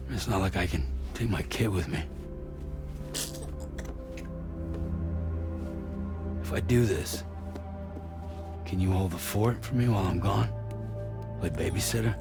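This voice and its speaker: A weary, reluctant man in a video game cinematic. His voice is low and full of gravelly creak, and he talks in terse, guarded, skeptical grumbles. Music is clearly heard behind him. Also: normal speed. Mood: resigned, concerned. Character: weary, reluctant, skeptical male courier and father.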